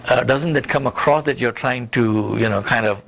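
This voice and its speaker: A man's voice: mid-range voice, moderate pace, a bit bright. Male career coach, emphatic, noisy recording. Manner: emphatic, instructive, repeats points and checks with "okay". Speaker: career coach and job search expert. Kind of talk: interview-style career advice talk. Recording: clearly noisy background.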